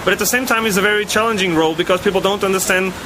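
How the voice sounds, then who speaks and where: A man's voice: high voice, racing. A man from Portugal, a startup founder answering interview questions earnestly, with many fillers and restarts. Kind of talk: casual on-the-street interview. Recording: street and traffic noise.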